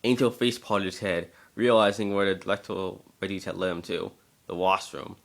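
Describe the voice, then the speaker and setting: Guy with a slightly low voice narrating a storybook tale, amateur read, trips on words a bit. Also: flat delivery, moderate pace, vocal fry. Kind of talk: amateur dramatic story reading.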